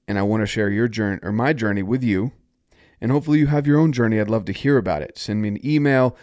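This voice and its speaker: A man's voice: on the low side, fast, a little mellow, no echo, clean studio sound. A man from North Carolina hosting a solo podcast, talking upbeat and encouraging while stuffed up from a cold. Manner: upbeat, encouraging, invites listeners to join. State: stuffed-up nose. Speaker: podcast host.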